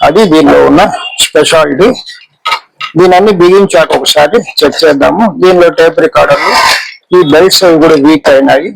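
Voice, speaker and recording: A man talks through an electronics repair in an informal way. His voice is slightly high and noticeably creaky, and he explains in halting, repeated phrases with English technical words. There is clear background noise with clicks in the recording.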